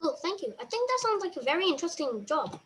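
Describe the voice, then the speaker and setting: A polite young woman with a very high voice leads an interview. She reads her prepared questions and says thank you again and again. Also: sing-song, fast, a bit bright, slight background noise.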